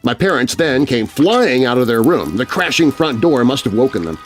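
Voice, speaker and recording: A man narrating a scary children's story in an expressive, suspenseful, scripted style. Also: mid-range voice, normal speed, soft background music. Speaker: narrator, voice artist.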